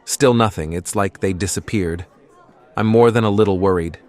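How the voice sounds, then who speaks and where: A man's voice: fairly low pitch, normal speed, a little mellow, natural voice. A man playing a weary, guilt-ridden research scientist in a horror audio comic. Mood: subdued, worried. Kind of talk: dramatic audio comic acting.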